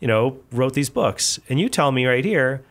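A man in a mid-range voice hosts a casual podcast, chatty and curious. He sets up topics and keeps asking his co-host to clear things up. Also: mid-range voice, animated, normal speed, a bit bright. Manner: curious, asks questions, chatty.

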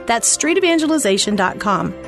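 A woman's voice: fairly high pitch, big pitch swings, brisk, fairly bright tone. A woman announcer reading scripted radio promo narration with a music bed behind her.

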